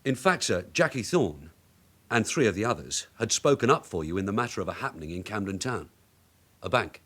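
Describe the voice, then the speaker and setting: A shrewd veteran detective sergeant in a radio drama. His voice is low and moves freely, and he questions people politely, in a measured way, without letting go. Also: very animated, a bit bright, breathy edge, full character voice. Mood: polite, probing. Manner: measured, deferential but probing questioning. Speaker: actor in a police drama. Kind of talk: naturalistic radio drama acting.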